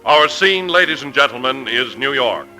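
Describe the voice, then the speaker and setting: A man's voice: mid-range voice, animated, moderate pace, over loud music, close to an everyday voice, poor audio. A man playing a grandiose melodrama narrator in a 1940s radio parody, solemn and declamatory. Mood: grand, portentous. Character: grandiose melodramatic narrator of a big-city drama. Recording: old 1940s radio broadcast.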